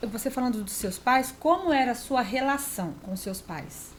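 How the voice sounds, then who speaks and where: A woman's voice: on the high side, animated, moderate pace, fairly bright tone, a little hiss or hum. A Brazilian woman hosting an interview podcast, chatting enthusiastically and effusively, often addressing her audience directly. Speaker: podcast host.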